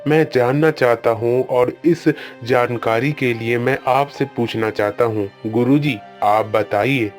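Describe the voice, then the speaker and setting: A man's voice: mid-range voice, a little slow, few pauses, soft background music, lightly acted. A man voicing a worried old king who pleads with his guru and scolds his daughter in a cartoon story. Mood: pleading. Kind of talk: Hindi cartoon storybook voice acting.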